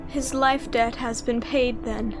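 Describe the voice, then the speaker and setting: Actress as fantasy ninja, high-pitched, hushed, mournful, solemn, drawn-out delivery, music behind. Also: female voice, steady tone.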